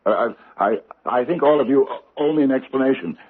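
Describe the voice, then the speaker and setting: An actor playing a pompous, stammering older judge with a killer's streak, in old-time radio drama style. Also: male voice, mid-range voice, fairly quick, breathy edge, lightly acted. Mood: flustered. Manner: stammering, blustering, theatrical. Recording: muffled, noisy old radio recording.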